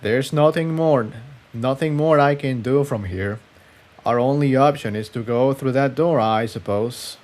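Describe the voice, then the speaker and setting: A man's voice: mid-range voice, unhurried, a little mellow, lightly acted. A man voicing a skilled, grave male pony magician in a story reading, with a hesitant manner that repeats words. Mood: resigned.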